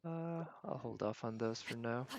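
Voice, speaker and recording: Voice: mid-range voice, moderate pace, darkish tone, dull audio, slight background noise. A streamer chatting casually with viewers during a game, thinking aloud in a musing, hesitant way. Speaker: live streamer. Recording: game music behind the voice. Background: video game music.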